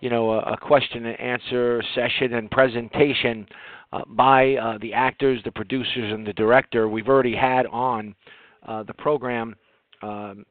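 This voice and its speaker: An American man hosting a radio interview, speaking conversationally with halting, hesitant phrasing. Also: medium pitch, level tone, no echo. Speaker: radio show host, interviewer. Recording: dry, narrow phone-like internet radio sound.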